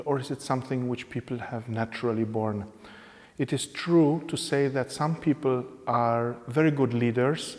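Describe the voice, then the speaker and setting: A man's voice: medium pitch, darkish tone. Hungarian-born man speaking with reverb. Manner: measured, explanatory, with pauses and fillers. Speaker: interviewed professor. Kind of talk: spontaneous interview answers in English. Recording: reverberant room.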